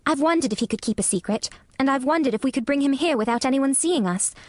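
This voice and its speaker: A woman's voice: high-pitched, lively intonation, quick pace, no room sound, pitched above the performer's own voice. A woman voicing an eager, earnest young English girl in a storybook, with proper, careful child's diction. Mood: eager, planning.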